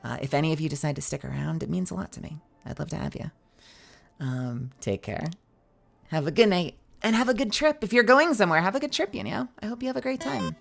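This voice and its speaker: A woman's voice: fairly low pitch, expressive, quick pace, vocal fry. A young woman streaming games live, chatting playfully with her chat in a slightly scratchy voice.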